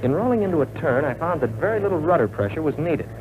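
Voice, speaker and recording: Young American trainee fighter pilot, earnest, plain-spoken, measured 1940s training film narration, tinny narrow sound, faint noise. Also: male voice, fairly high pitch, very animated.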